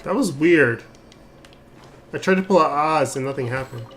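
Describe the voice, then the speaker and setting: A man's voice: on the high side, moderate pace, soft background music. A man doing casual gameplay commentary as a streamer, rambling and thinking aloud. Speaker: game streamer. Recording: clear background noise.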